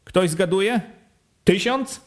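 Man reading as a sharp, pushy village head, raised voice, insistent questions, rising pitch. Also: slow. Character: forceful village official, a middle-aged man, pressing and sly.

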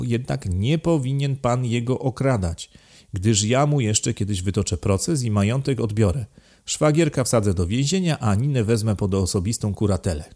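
A man voicing an excitable, contemptuous, deranged aristocrat count in an audiobook, imperious and given to emphatic outbursts. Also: mid-range voice. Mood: imperious, capricious. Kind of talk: dramatized audiobook reading.

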